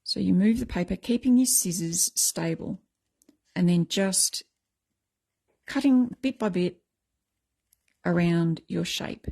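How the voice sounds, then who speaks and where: A middle-aged woman with a croaky voice, chatting casually as she explains a craft technique step by step. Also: on the low side, slow, pauses often, warm tone. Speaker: craft tutorial host.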